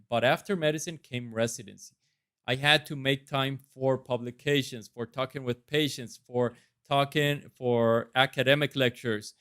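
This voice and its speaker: A man's voice: mid-range voice, a bit bright, clean tone. A man in his thirties with a Costa Rican accent, earnestly and self-assuredly practicing his answers to residency interview questions on camera. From Costa Rica. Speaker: residency applicant.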